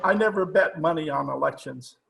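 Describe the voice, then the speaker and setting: A man's voice: on the high side, brisk, creaky, very noisy. A man playing a stubborn, stuffy older judge in old-time radio comedy, with a formal, pompous way of talking. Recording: online video-call recording.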